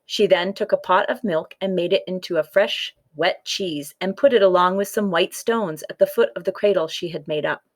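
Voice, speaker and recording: A woman's voice: fairly low pitch, moderate pace, few pauses. A woman reading a children's folktale aloud as scripted storybook narration. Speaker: story reader.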